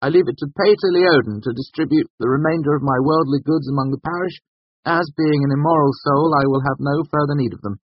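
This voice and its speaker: A man performs a young male servant in a storybook reading, speaking with grand, theatrical pomp. The pitch sits well above the reader's own, with a husky edge. The sound is dry. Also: expressive, brisk, few pauses, no echo, highs cut off. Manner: theatrical, pompous.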